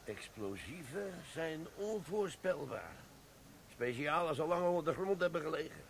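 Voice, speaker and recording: A jovial, lazy old army sergeant. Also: male voice, on the high side, expressive, normal speed, gravelly, slight background noise. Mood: pleased. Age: older. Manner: chatty and self-satisfied, grumbles about work. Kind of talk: radio drama acting.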